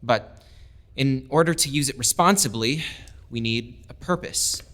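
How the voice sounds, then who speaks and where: A man's voice: medium pitch, unhurried, fairly bright tone, lightly acted. Man as an earnest young lieutenant. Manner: earnest, persuasive speech. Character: idealistic young male lieutenant.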